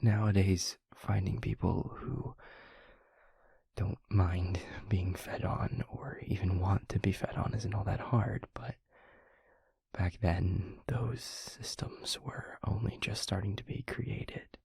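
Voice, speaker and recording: Man playing a hushed, husky, low-voiced brooding vampire. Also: fairly even intonation, no echo, heavily acted. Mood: calm, explanatory. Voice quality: breathy, husky half-whisper with gravelly fry. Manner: halting, hushed, earnest, with long pauses. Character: brooding, gentle male vampire boyfriend confiding his past. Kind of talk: intimate audio roleplay, soft confessional monologue.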